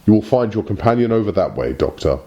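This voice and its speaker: A man's voice: lowish voice, big pitch swings, fast talker, mellow, lo-fi sound. A man playing a pompous, evasive alien messenger in a parody audio drama, with formal, theatrical delivery. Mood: formal, portentous. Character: pompous, evasive alien Time Lord messenger in smart suit.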